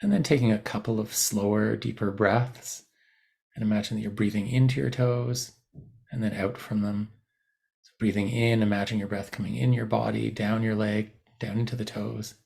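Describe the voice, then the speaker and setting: A man guiding a body scan meditation in a soothing, unhurried manner, leaving long pauses between short phrases. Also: lowish voice, steady tone, vocal fry, darkish tone. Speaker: meditation guide. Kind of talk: guided body scan meditation, unscripted instruction.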